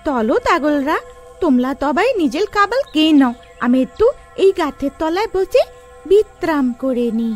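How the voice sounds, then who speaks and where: A woman's voice: high voice, expressive, moderate pace, music in the background. A woman playing a good-hearted, naive young witch girl in a children's cartoon story, with a childlike lisp that swaps consonants. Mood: cheerful.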